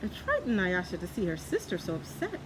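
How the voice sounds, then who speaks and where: A woman's voice: on the low side, wildly swooping pitch, fairly quick. A woman narrating a children's story from a book as a storyteller. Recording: clearly noisy background.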